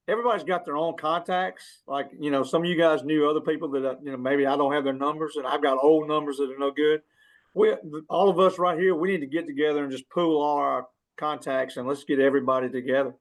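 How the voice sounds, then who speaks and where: A man's voice: fairly high pitch, steady tone, fast, rough recording. A man chatting casually as a guest on a group video call, plain-spoken and earnest. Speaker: former team member, podcast guest.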